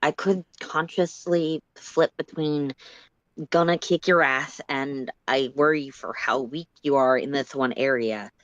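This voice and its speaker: A woman voicing Solix, a blunt, thoughtful, stubbornly caring male character, speaking slowly and deliberately in a story reading. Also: extremely low pitch, fairly even intonation, no echo. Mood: slow, musing. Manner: slow, deliberate delivery. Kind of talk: dramatic fan fiction reading. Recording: muffled voice-call audio.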